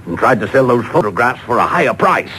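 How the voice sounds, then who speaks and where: A smug gunman toys with his victims in old radio-drama fashion. His pitch swings freely as he taunts and threatens with deliberate relish, over a faintly noisy taped broadcast. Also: sing-song, sharp tone. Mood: smug, gloating. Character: smug, menacing armed male killer, coolly gloating. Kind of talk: 1940s radio drama acting.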